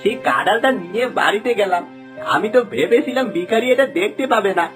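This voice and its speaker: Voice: medium pitch, lively intonation, fast, a bit bright, natural voice. An actor voicing a greedy village man who is now remorseful, pleading plaintively in a folktale cartoon. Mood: ashamed confession. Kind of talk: Bengali moral folktale cartoon dialogue. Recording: music under the voice.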